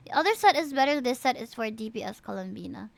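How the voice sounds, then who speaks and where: A woman live-streaming a game, chatting casually with viewers and reading out stats in an animated way, with frequent exclamations and asides. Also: on the high side, quick pace, vocal fry, no room sound. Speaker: live streamer reviewing players' game characters.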